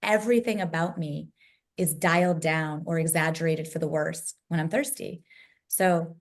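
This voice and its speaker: A woman with a low voice, moderating a virtual panel discussion over a video call, emphatic and fond of building lists. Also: steady tone, normal speed. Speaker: summit moderator.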